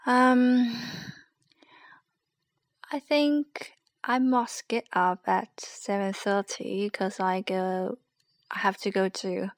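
A young woman with a Chinese accent, rambling hesitantly and softly in a late-night solo podcast. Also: mid-range voice, no echo. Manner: hesitant, rambling, soft and sleepy. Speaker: podcast host.

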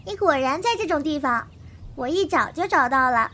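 Very high-pitched, earnest young wolf-raised girl, acted by a woman. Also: lively intonation, normal speed, a bit bright, slight background noise. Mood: matter-of-fact. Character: earnest young wolf-raised martial arts girl, naive, blunt, devoted. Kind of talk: Chinese period audio drama acting. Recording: voice over prominent background music.

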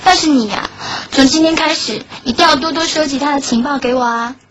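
A woman's voice: high voice, fairly quick. A woman playing a lovelorn schoolgirl in a radio drama, narrating her heartbreak in a wistful, earnest way. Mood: teasing, feigned indifference. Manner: wistful, earnest, softly self-effacing. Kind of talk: Chinese radio drama acting with inner monologue. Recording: dull lo-fi audio under clear music.

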